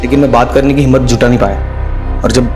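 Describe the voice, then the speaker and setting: A man's voice: medium pitch, steady tone, quick pace, natural voice. An actor playing an earnest, lovestruck young man who pleads his case in a TV crime drama, music behind him. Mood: anxious, defensive. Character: anxious, lovestruck young male suspect pleading innocence. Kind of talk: Hindi TV crime serial acting.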